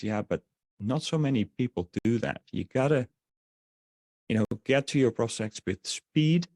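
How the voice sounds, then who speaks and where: Man, Dutch accent, sales webinar host, low-pitched, fillers and restarts, dry sound. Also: a little mellow, no room sound. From Netherlands. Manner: rambling, many fillers and restarts. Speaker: webinar presenter.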